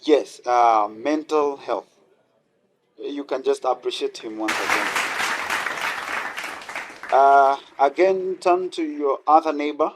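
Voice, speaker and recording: A man's voice: medium pitch, slow, long pauses, a faint crowd, lightly acted. A man playing a friendly doctor and counselor in a radio drama, engaging listeners as an interactive guest speaker. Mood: encouraging, interactive. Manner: engaging the audience with questions and thanks. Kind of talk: radio drama motivational talk.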